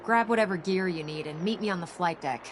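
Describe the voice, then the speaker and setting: A woman playing a brisk, dry-humored military engineer in a video game. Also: natural voice. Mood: businesslike, wry. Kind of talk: video game character dialogue. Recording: heavy engine rumble and background noise.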